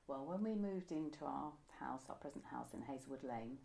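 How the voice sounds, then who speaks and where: A woman's voice: very deep voice, expressive, normal speed, vocal fry, warm tone. A woman, reminiscing conversationally as an interviewee. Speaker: interviewee, pantomime costume maker. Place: indoors, room by a window.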